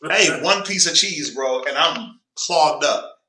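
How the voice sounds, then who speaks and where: A man's voice: fairly high pitch, expressive, slow, sharp tone. A man with a Southern US accent and a stuffy nose teaching a casual Bible class, prompting a reader and joking with the group. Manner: conversational, prompts reader with "go ahead", jokes. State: stuffy nose, clearing throat. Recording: echoey room, distant mic.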